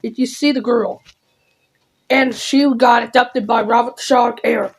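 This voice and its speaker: Slow, high-voiced announcer, female. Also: fairly even intonation, vocal fry, slight background noise, rough recording. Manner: halting, deliberate delivery with stumbles. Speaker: announcer of a mock emergency alert. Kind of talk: improvised mock emergency alert announcement.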